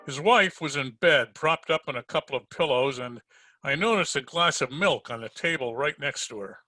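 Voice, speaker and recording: An actor playing a tough, hard-boiled private detective in 1940s radio drama style, sardonic and slangy. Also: male voice, mid-range voice, animated, moderate pace, sharp tone, dry recording, faint music behind. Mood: cool, accusing.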